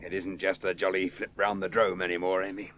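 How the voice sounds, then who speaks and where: A man's voice: sits low, little pitch movement, fairly quick, a bit bright, lo-fi sound, a little hiss or hum. An actor playing a wry, laconic male aviator with a drinking habit in a radio play. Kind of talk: 1970s radio drama acting.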